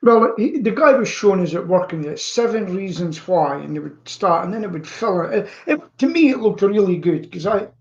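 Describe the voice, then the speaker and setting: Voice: mid-range voice, expressive, moderate pace, hardly any pauses, dull audio. A co-host chatting casually on an online show, telling things in a rambling, anecdotal way over a streaming call. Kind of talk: casual chat. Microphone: live streaming video call.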